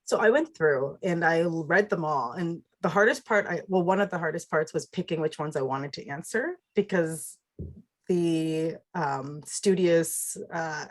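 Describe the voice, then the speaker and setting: A woman with a low voice, a podcast guest speaking reflectively and in imagery during a casual interview. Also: a little slow.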